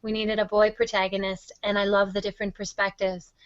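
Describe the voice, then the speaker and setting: A woman's voice: medium pitch, flat delivery, a little fast, clear, resonant tone, dry recording. Enthusiastic woman, dull lo-fi audio. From Wisconsin, United States. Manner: enthusiastic, effusive. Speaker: interview guest, teacher and reading project founder. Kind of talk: conversational interview answers.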